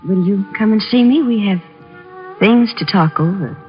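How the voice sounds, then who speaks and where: A woman's voice: mid-range voice, normal speed, close to an everyday voice. A woman playing a detective's flirtatious, jealous sweetheart in a 1940s radio drama, coy and knowing. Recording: dull old radio recording, faint music.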